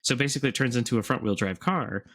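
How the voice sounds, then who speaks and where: A man's voice: medium pitch, very fast, fairly bright tone. A man co-hosting a podcast, explaining things in casual conversation. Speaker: podcast co-host.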